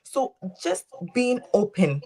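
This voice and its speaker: A woman's voice: on the high side, big pitch swings, takes it slowly, warm tone. A woman from Ghana talking in a casual group chat, making emphatic points with preachy asides.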